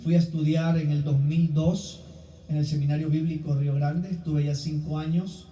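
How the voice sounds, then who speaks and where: Mellow Ecuadorian preacher, distant and roomy. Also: male voice, fairly high pitch, flat delivery, normal speed. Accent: Ecuadorian Spanish. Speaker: guest preacher. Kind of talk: sermon with personal introduction. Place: church hall, recorded from a distance. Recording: roomy, distant mic, faint background noise.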